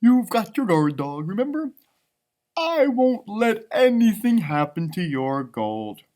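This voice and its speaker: A man voicing a cheerful, chatty, loyal female puppy in a drawn-out, upbeat way for a storybook. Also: sits high, very animated, darkish tone, voice pushed up, full character voice. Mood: reassuring.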